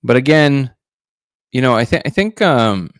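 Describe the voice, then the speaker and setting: A man's voice: mid-range voice, lively intonation, measured pace, dry recording, studio quality. A man hosting a casual livestream, musing loosely and greeting viewers by name. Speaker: livestream host.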